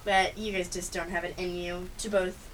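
A woman's voice: fairly low pitch, little pitch movement, normal speed, lightly acted. A woman playing a bossy, sarcastic female cat with world-domination ambitions in an amateur audio comedy. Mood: smug. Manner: sarcastic, insulting, superior. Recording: distant mic, faint background hiss.

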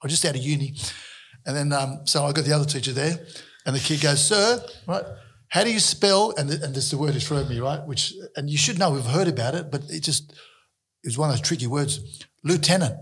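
A man from Turkey whose first language is Turkish, a guest and former teacher, telling lively stories with plenty of laughter. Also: fairly high pitch, brisk, slightly rough. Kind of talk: casual chat.

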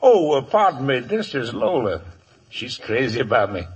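Playful, menacing male outlaw for radio Western: rough-voiced, cocky, lively pitch swings. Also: moderate pace, highs cut off. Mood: breezy, taunting. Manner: breezy, mocking. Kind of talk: 1950s Western radio drama acting. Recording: vintage 1950s radio broadcast.

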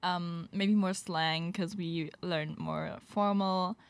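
A teenage girl from Germany talks as an exchange student guest. She is chatty and fills her speech with little pauses and words. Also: lowish voice, steady tone, vocal fry, smooth. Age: teens. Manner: chatty with many fillers and laughs.

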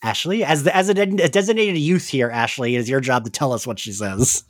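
A man co-hosting a casual recap chat, talking in an animated, conversational way with the odd swear word. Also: medium pitch, a little fast, dry recording, studio quality. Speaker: podcast co-host.

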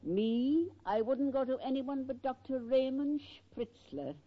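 A woman's voice: sits high, unhurried. An actress playing a brassy, motherly older landlady, scolding yet kindly, in a 1940s radio sitcom. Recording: dull 1940s radio recording.

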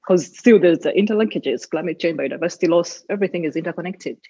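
A woman's voice: lowish voice, quick pace, dark tone, breathy edge, no room sound, lo-fi sound. A young woman from Uganda with a Ugandan accent, talking earnestly as an interview guest, often adding "you know". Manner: earnest, emphatic, with frequent "you know"s and laughs. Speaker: guest, environmental advocate. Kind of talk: casual interview talk.

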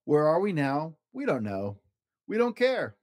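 Man reading as a cheerful toad with lively, bouncing intonation. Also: fairly high pitch, measured pace, pitched above the performer's own voice. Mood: carefree. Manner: sing-song, rhythmic. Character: cheerful, careful toad who loves driving. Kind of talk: children's storybook read-aloud.

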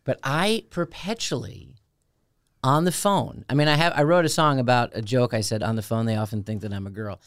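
A man with a tenor voice, telling stories in a casual, animated, self-deprecating way as co-host of a radio show. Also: a little fast, clear, resonant tone, no echo, studio quality. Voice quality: high tenor voice. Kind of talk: casual chat.

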